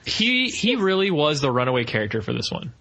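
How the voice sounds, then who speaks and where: Male podcast co-host, bright, forceful voice, casual and jokey review banter. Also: a little high, sing-song, a little fast, rough recording. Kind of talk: casual film review chat.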